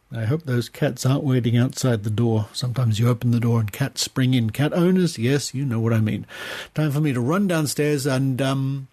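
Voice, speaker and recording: A man's voice: medium pitch, darkish tone, slightly rough. An Australian man hosting a podcast in a chatty, conversational way, given to rambling asides. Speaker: podcast host.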